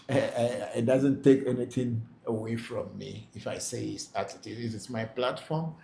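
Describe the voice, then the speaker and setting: Man, spiritual leader hosting a live Q&A, gravelly mid-pitched voice, blunt and repetitive, echoey room. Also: measured pace, warm tone. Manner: plain-spoken, repeats himself for emphasis. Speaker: Vodu priest hosting a live Q&A. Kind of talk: live Q&A, reading out and answering questions. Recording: echoey room, faint background noise.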